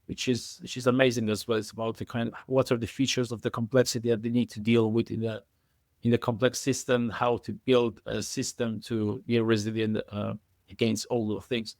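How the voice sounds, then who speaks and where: A man speaking as an expert podcast guest in a conversational way, haltingly, often repeating words and circling through filler phrases. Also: medium pitch, flat delivery, a little mellow, no room sound, studio quality. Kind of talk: conversational expert interview answers.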